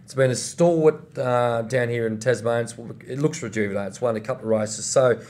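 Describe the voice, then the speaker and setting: A man's voice: mid-range voice, a little fast, darkish tone. A man co-hosting a racing preview, giving tips in a conversational, enthusiastic way. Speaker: racing show co-host.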